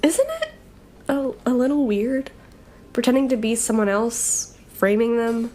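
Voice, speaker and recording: A woman's voice: fairly high pitch, a little slow, dark tone. A young woman playing a brash, sarcastic college-age thief in an audio drama, speaking in breezy, slangy banter. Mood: unsure. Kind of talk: audio drama dialogue, casual and conversational.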